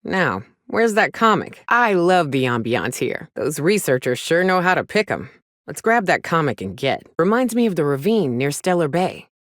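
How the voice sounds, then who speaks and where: An actress voicing a wry, plain-spoken frontier huntress with a folksy, sardonic manner in a video game. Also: female voice, low-pitched, sing-song, moderate pace, dry recording, clean studio sound. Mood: wry, casual. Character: gruff, wry frontier woman, monster hunter and loyal companion. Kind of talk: video game companion dialogue.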